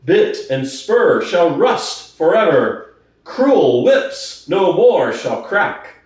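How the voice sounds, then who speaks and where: A man voicing an aged, hoarse boar who gives solemn, fervent revolutionary speeches in a storybook reading, slowly and emphatically. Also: a little high, big pitch swings, heavily acted. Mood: rousing, hopeful. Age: older. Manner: slow, emphatic oratory with weighty pauses. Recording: distant, very echoey room.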